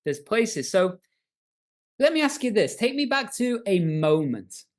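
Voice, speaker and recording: A man's voice: high voice, sing-song, unhurried. A man hosting a podcast interview, enthusiastic and jokey, often repeating words as he gets going. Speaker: podcast host. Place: remote, in his own home setting.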